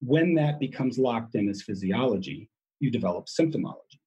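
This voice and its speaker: A man's voice: medium pitch, lively intonation, fairly quick, warm tone, slightly rough, poor audio. A man speaking as an interview guest, explaining in an emphatic way and often repeating his key words.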